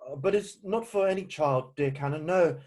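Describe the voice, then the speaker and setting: Man acting a flustered, indignant Victorian gentleman. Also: on the high side, normal speed, close to an everyday voice. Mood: sheepish, polite. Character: exasperated, indignant Victorian gentleman, guardian of a young woman. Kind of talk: amateur play read-through.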